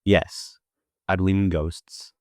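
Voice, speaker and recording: A man voicing a guarded, cautious young newcomer in an audio drama, speaking in a measured way. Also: low voice, little pitch movement, no room sound, studio quality, voice pushed down. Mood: wary.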